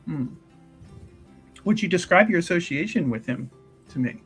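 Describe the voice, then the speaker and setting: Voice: mid-range voice, expressive, normal speed, lightly acted. A player voicing a polite, hesitant female church investigator who repeats words while probing, in a tabletop roleplay. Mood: inquisitive. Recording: music behind the voice. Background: music.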